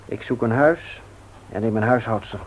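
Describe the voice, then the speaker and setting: An actor playing a worried, considerate grown son in a radio play, speaking earnestly and politely. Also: male voice, lowish voice, normal speed, lightly acted. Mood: concerned. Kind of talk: old radio play acting. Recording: dull, faintly noisy old radio recording.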